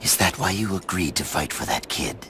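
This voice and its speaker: Game role: hard loner avenger, bottomless gravel voice, terse and menacing. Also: male voice, sits low, expressive, a little fast. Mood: cool, sardonic. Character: hard, cynical lone warrior out to avenge his people. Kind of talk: dramatic video game cutscene acting. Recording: noisy game cutscene audio.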